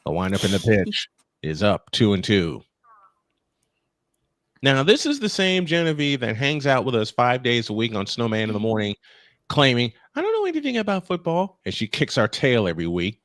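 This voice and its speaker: A man's voice: mid-range voice, very animated, moderate pace, fairly bright tone, no echo. A man doing live play-by-play baseball commentary, breaking into folksy, joking asides with listeners. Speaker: play-by-play baseball announcer and stream host. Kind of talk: live sports commentary mixed with casual chat.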